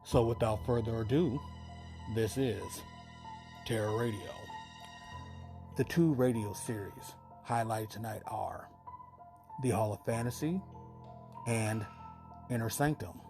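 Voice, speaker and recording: A man's voice: on the low side, takes it slowly, pauses often. A man in his fifties hosting a show, giving a casual scripted introduction. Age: 50s. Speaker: podcast host. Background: music.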